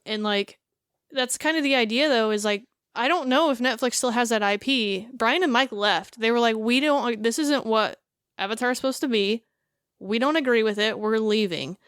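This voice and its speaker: A woman's voice: fairly high pitch, normal speed, fairly bright tone, clear, resonant tone, no echo, studio quality. A woman co-hosting a podcast in casual chat, emphatic and rambling, acting out imagined dialogue. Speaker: podcast co-host.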